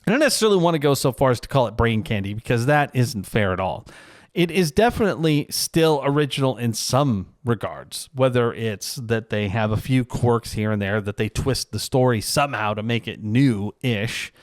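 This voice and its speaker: A man's voice: mid-range voice, expressive, moderate pace, dry recording, clean studio sound. A man talking casually and conversationally as a vlogger, giving his opinions in a self-deprecating monologue.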